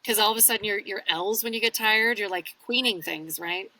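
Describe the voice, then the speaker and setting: A woman chatting casually as an interview guest, telling stories in an animated way and acting out her own remarks. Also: medium pitch, a little fast, low-quality recording. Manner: animated, self-quoting storytelling. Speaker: guest audiobook narrator. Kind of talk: casual podcast interview chat.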